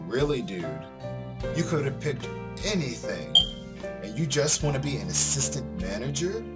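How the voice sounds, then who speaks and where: A man voicing a cocky, girl-crazy high school boy from a visual novel, bragging theatrically. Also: sing-song, moderate pace, close to an everyday voice. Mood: teasing. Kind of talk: visual novel character read aloud. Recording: music behind the voice.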